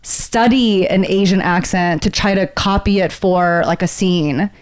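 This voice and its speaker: A young woman talks as a podcast guest, reflective and chatty. She fills her speech with "like" and restarts. Her voice sits a little low. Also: unhurried. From born in Korea, grew up in America. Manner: chatty, reflective, many "like" fillers. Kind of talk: casual podcast chat.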